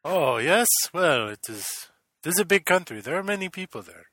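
A man's voice: on the high side, very animated, bright, forceful tone, dry recording, studio quality, pitched above the performer's own voice, full character voice. A man putting on an Eastern European cab driver. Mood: eager. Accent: put-on Eastern European. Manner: broken English, halting, eager to please. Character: chatty immigrant male taxi driver, evasive.

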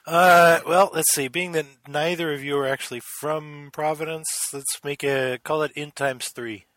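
A man narrating a tabletop game as game master, calmly describing scenes and calling for dice rolls. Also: on the high side, normal speed, dry recording.

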